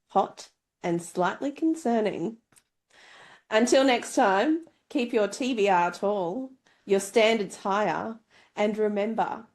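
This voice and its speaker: Brief: female podcast host, mid-pitched voice, playful book-club banter with some scripted narration. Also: darkish tone. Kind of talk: casual book review chat with scripted sign-off.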